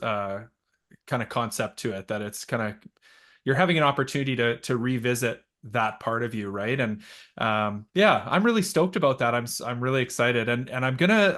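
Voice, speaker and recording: A man's voice: mid-range voice, fairly bright tone. A man hosting a podcast interview, talking in a casual, eager way and often checking in with "right?". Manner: eager, affirming, frequent "right?" and "yep". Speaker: podcast host.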